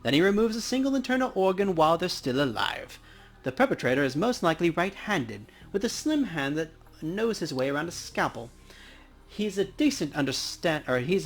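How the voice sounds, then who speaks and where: A man's voice: fairly high pitch, big pitch swings, fairly quick, faint music behind. A man voicing a dry, precise, principled dwarf coroner in a video game. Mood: explanatory. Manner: precise, wry, matter-of-fact. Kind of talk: hobby voice acting for a game's dialogue.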